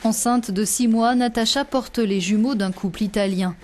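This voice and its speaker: A woman narrating a television news report in a measured, scripted voice-over. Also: fairly high pitch, darkish tone. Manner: measured, clear news delivery.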